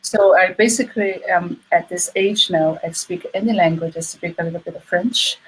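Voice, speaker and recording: A woman's voice: on the low side, fairly even intonation, normal speed, slightly rough, rough recording. A woman from Sichuan, China with a Chinese accent and Mandarin as her first language, a hypnotherapist speaking as an interview guest over a video call. She is friendly and laughs easily.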